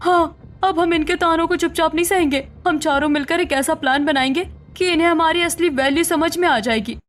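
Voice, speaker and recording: A woman's voice: extremely high pitch, brisk. A woman playing a defiant, indignant young daughter-in-law in a cartoon family drama, speaking assertively. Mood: determined. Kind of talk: Hindi cartoon story dialogue.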